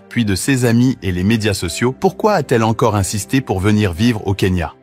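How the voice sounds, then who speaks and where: A man in his fifties reading a scripted documentary voice-over in a measured, even storytelling manner. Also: on the low side. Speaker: documentary narrator.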